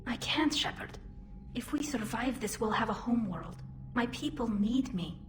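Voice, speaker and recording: An actress playing a young, modest, war-weary alien admiral in a video game. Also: female voice, a little high, animated, moderate pace, background noise, natural voice.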